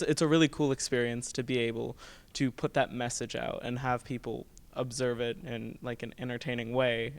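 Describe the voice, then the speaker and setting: Even, clear-voiced teenage young man. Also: mid-range voice. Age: late teens. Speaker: student actor being interviewed. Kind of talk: casual earnest interview answer.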